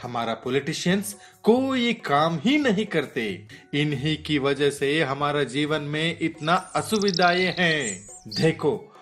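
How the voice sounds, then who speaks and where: A man playing a complaining, fault-finding father in an animated moral story. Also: a little high, takes it slowly. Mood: grumbling. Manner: complaining, scolding. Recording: music behind the voice.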